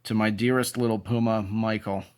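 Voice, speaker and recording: A man talking in a deliberate, sentimental way, as in a casual show-and-tell video, sometimes reading aloud. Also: on the low side, fairly even intonation, smooth. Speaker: YouTube video creator.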